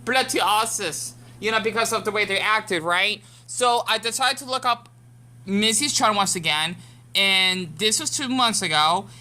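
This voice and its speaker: A woman's voice: medium pitch, a little slow, sharp tone. An American woman giving rambling casual commentary as a YouTube reactor, full of fillers and restarts. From United States.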